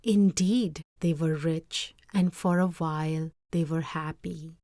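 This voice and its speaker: A woman reading a story aloud as narrator, with expressive, dramatic phrasing. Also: sits low, takes it slowly, dry recording, studio quality.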